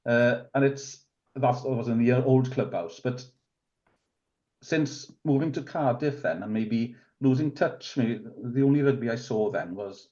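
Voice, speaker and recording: A man in his forties with a Welsh accent, Welsh as his first language, talking in a relaxed, rambling way as a guest on a rugby podcast, full of restarts and fillers. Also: medium pitch, lo-fi sound. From Wales. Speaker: rugby club committee member, guest. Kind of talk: casual podcast interview.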